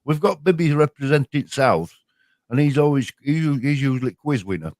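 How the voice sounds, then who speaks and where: A man's voice: mid-range voice, lively intonation, a little mellow, no room sound, studio quality. An older man from West Yorkshire with a West Yorkshire accent, easygoing and chatting on a livestream with a friend. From West Yorkshire, near Leeds. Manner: easygoing, down-to-earth, quick to agree. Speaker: livestream co-host. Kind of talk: casual livestream chat.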